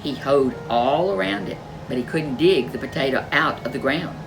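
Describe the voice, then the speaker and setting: A woman reading a folk tale aloud as a storyteller, expressive and animated. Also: sits low, a little hiss or hum, poor audio. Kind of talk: storybook read-aloud narration.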